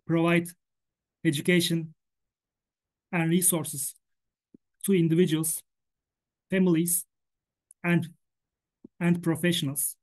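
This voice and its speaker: A man's voice: on the high side, a little mellow. A man from Turkey with a Turkish accent, a native Turkish speaker, giving a prepared talk in English for a stuttering association while he stutters, repeating words and sounds. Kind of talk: prepared presentation.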